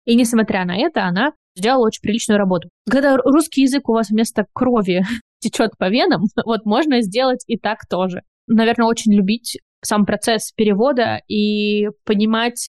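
A woman speaks as a podcast guest in a relaxed, thoughtful way, pausing on fillers and laughing lightly. Her pitch sits in a middle range. The recording is dry and close. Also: no echo. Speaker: podcast guest, bookshop owner. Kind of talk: casual interview chat.

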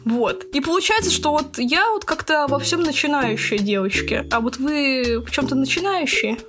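A woman's voice: high voice, animated, moderate pace, over music. A twenty-year-old woman hosting a casual podcast chat in a playful, teasing manner. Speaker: podcast host.

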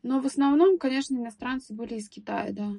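A young woman guest on a podcast, recalling her school years in a thoughtful, hesitant way with many pauses and restarts. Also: high voice, warm tone, no echo. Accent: Russian speaker living in England. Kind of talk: casual podcast interview.

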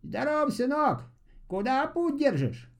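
A man voicing a kindly grey-haired old man in a fairy tale, gentle, folksy and instructive. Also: very high voice, sing-song, slow, vocal fry, voice pushed up, heavily acted. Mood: friendly concern. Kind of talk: storybook fairy-tale voice acting.

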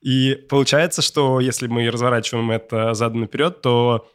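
A man's voice: mid-range voice, fairly even intonation, normal speed, clear, resonant tone. Man in casual podcast discussion. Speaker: podcast co-host.

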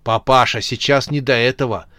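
A cheeky young bandit played by a male narrator in a storybook caper. He mixes mock-aristocratic polish with backstreet slang, in a medium-pitched voice recorded very dry. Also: steady tone, normal speed, pushed and bright, dry recording, natural voice. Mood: brash, impatient.

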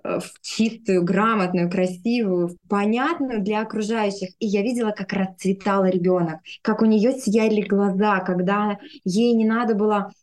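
A woman's voice: medium pitch, steady tone, normal speed, hardly any pauses, darkish tone. A woman in her thirties speaking conversationally as an interview guest, telling personal stories. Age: 30s. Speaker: podcast guest, speech therapist.

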